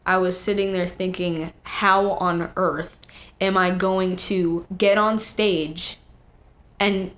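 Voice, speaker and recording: A teenage young woman, a guest singer, telling stories in casual, animated chat full of quoted asides. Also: lowish voice, takes it slowly.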